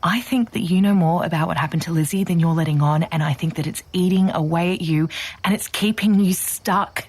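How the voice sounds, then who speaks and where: An actress playing an earnest, pushy young podcaster, insistent and persuasive, in audio drama. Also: female voice, fairly low pitch, brisk, a little mellow, husky. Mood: probing, insistent. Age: young adult. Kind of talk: naturalistic audio drama acting.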